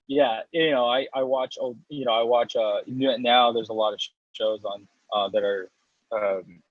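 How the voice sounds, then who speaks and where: A man's voice: medium pitch, fairly even intonation, moderate pace, dry recording, narrow, tinny audio. A man in his thirties, a guest in a casual interview, rambling through his story with restarts and small laughs. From United States. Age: 30s. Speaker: guest, coffee roaster and business owner.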